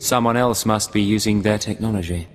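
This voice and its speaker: An actor playing a hard, terse imperial secret agent in a video game, with a curt, cool manner. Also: male voice, lowish voice, a little fast, slight background noise, natural voice. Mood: cool, businesslike. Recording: long reverb, music behind.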